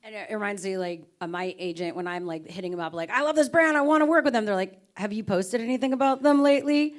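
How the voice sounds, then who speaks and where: A woman's voice: mid-range voice, fast talker. A woman moderating a summit panel in a casual way, with lots of fillers. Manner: casual, many fillers like "you know".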